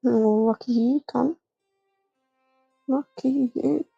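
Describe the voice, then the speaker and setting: A woman's voice: sits high, steady tone, takes it slowly, vocal fry, breathy edge, no room sound, dull audio. A woman chatting casually on a livestream, blunt, sweary and dryly self-mocking. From Australia. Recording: music playing behind the voice. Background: video game music.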